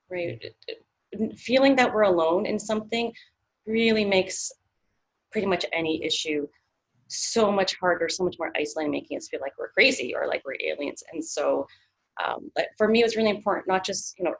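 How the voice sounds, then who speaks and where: A woman in her thirties from Canada speaks as an interview guest. Her voice sits low, and she talks in a thoughtful way, circling back with many fillers and restarts. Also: vocal fry, breathy edge, low-quality recording. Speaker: psychologist.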